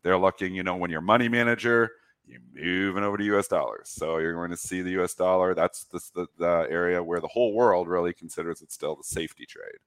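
Canadian dude, deep voice, rambly, talks down on himself, dry sound. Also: male voice, fast talker, a bit bright, no room sound. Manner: rambling, self-deprecating. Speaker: co-host. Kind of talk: casual market chat.

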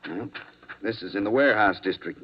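A man playing a matter-of-fact police sergeant in a 1950s radio drama, brisk and businesslike. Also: lowish voice, close to an everyday voice. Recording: muffled old 1950s radio recording.

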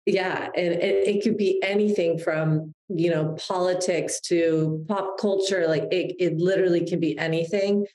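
Podcast guest, woman in her 30s, low mellow voice, reflective and heartfelt, recorded in an echoey room. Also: fairly even intonation, moderate pace, few pauses. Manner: reflective, heartfelt, with frequent restarts. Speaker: guest, publicist. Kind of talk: podcast interview.

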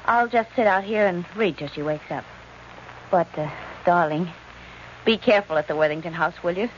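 Woman playing a gentle, concerned nurse, muffled. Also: on the low side, normal speed, close to an everyday voice. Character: caring, gentle nurse, detective's sweetheart. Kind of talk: 1940s radio acting. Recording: muffled old radio, crowd-like background noise.

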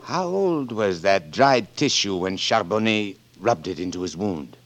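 A male actor in a 1940s radio drama playing an earnest, weary, reflective scientist with a deliberate manner. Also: fairly low pitch, lively intonation. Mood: puzzled. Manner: deliberate, ruminative.

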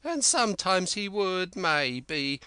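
A man reading an audiobook and voicing a rambling, excitable old castaway who speaks in rustic dialect. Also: high-pitched, slow, smooth, no room sound, voice pushed up, full character voice. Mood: confiding. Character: wild-eyed old male castaway, rambling and eager.

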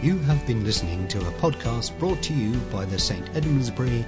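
A man's voice: mid-range voice, animated, brisk. A man delivering a scripted podcast announcement as an announcer. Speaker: closing announcer. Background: loud music.

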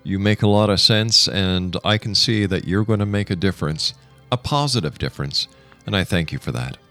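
A man's voice: low voice, normal speed, pushed and bright, soft background music. A man hosting a radio interview in a conversational way. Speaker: radio talk show host.

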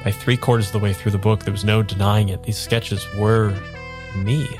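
Audio drama lead for a male actor: apologetic, uneasy man with no memory, lowish voice, halting delivery, music bed. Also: little pitch movement, lightly acted. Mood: quiet wonder. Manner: halting, repeats himself, hesitant. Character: uneasy amnesiac man, apologetic and wistful, narrating his search. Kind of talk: improvised audio drama, first-person narration and dialogue.

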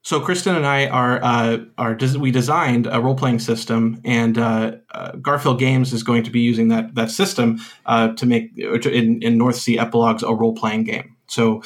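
A man who designs games talks as a podcast guest at an ordinary, mid-range pitch. He rambles through his explanation with constant uhs, restarts and trailing phrases. His tone stays casual and chatty. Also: level tone, normal speed, few pauses. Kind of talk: casual interview chat.

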